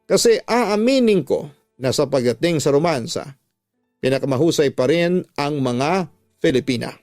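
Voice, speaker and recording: Higher-voiced male radio drama narrator, dry sound. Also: expressive, normal speed, a little mellow, dry recording. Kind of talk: dramatic first-person scripted narration of a love story. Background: music.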